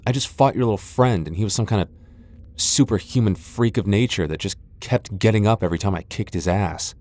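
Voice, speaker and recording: Casting: male actor as haughty tsundere vampire lord, low-pitched, prickly and easily flustered, for intimate boyfriend roleplay audio. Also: brisk, darkish tone, close to an everyday voice. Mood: defensive, boastful. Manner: haughty, defensive, stammering when flustered. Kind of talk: ASMR boyfriend roleplay acting.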